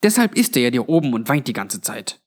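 A man voicing a kindly, anxious old grandmother with a hushed, pleading manner in a narrated story. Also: medium pitch, sing-song, brisk. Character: kindly but anxious old granny, undead innkeeper.

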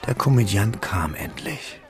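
An actor narrating a literary radio drama as a reflective, wistful man looking back on his schooldays, measured and solemn. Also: on the low side, animated. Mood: tense, wistful.

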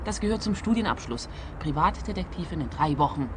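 A woman's voice: low-pitched, lively intonation, brisk, background noise. An actress playing an eager, naive young woman training as a private detective in a radio crime comedy, chatty and earnest.

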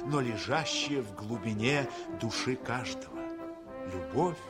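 Мужчина торжественно и размеренно читает литературный текст как рассказчик радиоспектакля, с декламационной подачей. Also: male voice, medium pitch, expressive. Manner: measured, declamatory. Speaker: narrator. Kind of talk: solemn literary radio narration. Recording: orchestral brass music behind.

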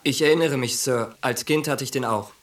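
An actor playing a wary young army sergeant in a radio drama, dry and guarded, a loyal friend. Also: male voice, medium pitch, fairly quick, close to an everyday voice. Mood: wary, respectful. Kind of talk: German radio drama acting.

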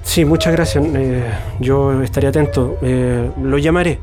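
A man's voice: medium pitch, fairly even intonation, a little slow, lightly acted. A man with a Chilean accent playing a humble, resigned metalworker in a radio drama, hesitant and plain-spoken. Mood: nervous, deferential. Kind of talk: Spanish-language radio drama acting. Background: music.

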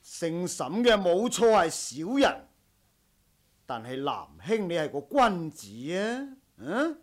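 A man's voice: sits high, big pitch swings, slow pace, heavily acted. A man acting a proud, honourable martial-arts household master in a Cantonese radio drama, speaking in formal, stern, old-fashioned heroic language. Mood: defiant, composed.

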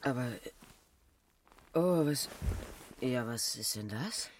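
An actress playing a brash, mocking, capricious 1920s Parisian courtesan in a radio play, theatrical and drawling. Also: female voice, extremely low pitch, animated, slow pace, a little mellow, far from the mic, a little hiss or hum, heavily acted. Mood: baffled, scornful. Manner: theatrical, drawling, swinging from murmurs to shrieks.

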